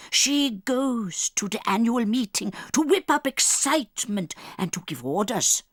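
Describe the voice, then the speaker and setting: A woman's voice: on the high side, animated, a little slow, dry recording, studio quality. An actress playing a protective old grandmother, a solemn and dramatic storyteller in a dramatized audiobook. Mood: grave, relishing.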